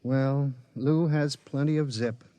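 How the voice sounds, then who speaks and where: An actor playing an anxious, lovelorn young lawyer in a 1950s radio drama, wry and fretful. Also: male voice, medium pitch, steady tone, takes it slowly, dark tone, no room sound, highs cut off, lightly acted. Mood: hurt.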